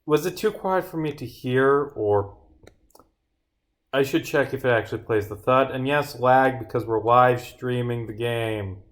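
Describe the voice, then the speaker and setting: A man's voice: medium pitch, a little slow. A man livestreaming casual commentary as he debugs his game, muttering to himself, exclaiming and swearing. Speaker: game developer streaming. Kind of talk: casual livestream commentary while bugfixing, reading dialogue aloud. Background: game music.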